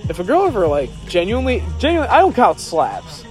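A young man hosting a casual podcast, loud and joking, talking over his friends. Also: high voice, wildly swooping pitch, quick pace, over music. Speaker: podcast host. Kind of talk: casual chat.

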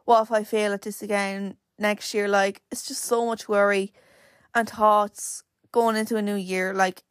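A young woman talks through her solo podcast in a loose, rambling way, leaning on "like" again and again. Her mid-pitched voice is slightly croaky, with the odd crack, and the sound is close and dry. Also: flat delivery, a little slow, dry recording. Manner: chatty and rambling, full of "like" fillers. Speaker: podcast host. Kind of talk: casual solo podcast chat.

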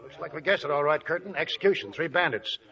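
An actor playing a seasoned old American gold prospector, folksy and wry, in 1940s radio drama style. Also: male voice, fairly high pitch, expressive, fast talker, sharp tone, soft background music. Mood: calm, watchful. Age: older. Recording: dull, noisy old radio recording.

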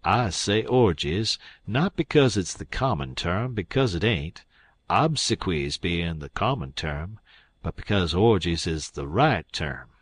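Man playing a pompous old con man, low-voiced. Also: animated, measured pace, husky, no echo, heavily acted. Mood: pompous lecturing. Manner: pompous, pseudo-learned, folksy grammar. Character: smug, long-winded old con man posing as English.

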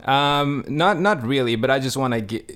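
A man's voice: mid-range voice, lively intonation, normal speed, a bit bright, clean tone. A young man, co-hosting a podcast in casual chat, easygoing and rambling, laughing often, recorded in an apartment. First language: Swedish. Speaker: podcast co-host.

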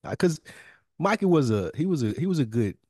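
A man's voice: on the high side, sing-song, brisk, a little mellow, breathy edge, no room sound, clean studio sound. A man co-hosting a podcast in casual, colloquial chat, often repeating phrases for emphasis. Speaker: podcast co-host.

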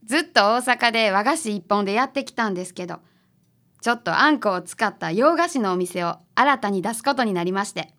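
A woman acting as a bright, assertive confectioner of about thirty in a radio drama, with a Kansai accent. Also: medium pitch, animated, fairly quick, close to an everyday voice. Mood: bright, polite.

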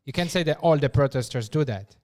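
A man co-hosting a casual discussion, measured and diplomatic. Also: a little high, animated, darkish tone. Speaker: co-host.

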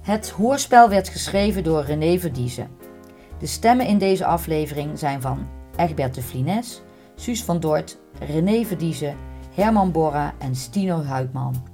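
A woman's voice: deep voice, lively intonation, unhurried, dark tone, faint music behind. A woman presenting a podcast as scripted documentary narration.

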